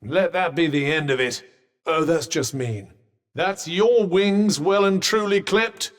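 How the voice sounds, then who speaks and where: Droll posh British magical companion in long reverb. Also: male voice, a little high, slow pace. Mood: sardonic. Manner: posh, droll, understated, faintly condescending. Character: dry, haughty, sardonic talking magical cuff companion. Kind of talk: video game companion voice lines.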